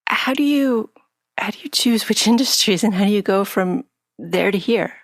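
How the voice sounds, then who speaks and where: A woman's voice: medium pitch, normal speed, no echo. A woman co-hosting a podcast, asking questions in a playful, easy-laughing way. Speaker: podcast co-host. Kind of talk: casual interview chat.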